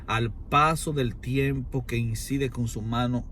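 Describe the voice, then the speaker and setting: A man's voice: mid-range voice, steady tone, takes it slowly, a bit bright. Erudite Dominican man, noisy recording. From Dominican Republic. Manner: formal, erudite, oratorical with emphatic repetition. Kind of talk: extended argumentative explanation in conversation.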